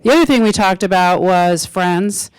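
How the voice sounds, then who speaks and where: A woman district attorney speaking personally to parents in a loud voice with frequent ums. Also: lowish voice, normal speed, clear, resonant tone, no room sound, low-quality recording.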